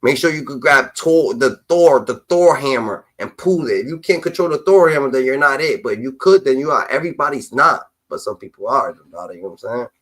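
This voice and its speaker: A man's voice: medium pitch, animated, fairly quick, hardly any pauses, fairly bright tone. A man in his twenties in casual livestream chat, talking emphatically and preaching, repeating catchphrases. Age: 20s.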